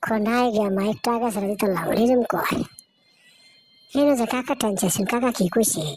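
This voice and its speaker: A woman's voice: a little high, a little fast, background noise, natural voice. A woman with a rural Bangladeshi accent playing an anxious village wife in a cartoon, questioning and nudging. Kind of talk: cartoon dialogue.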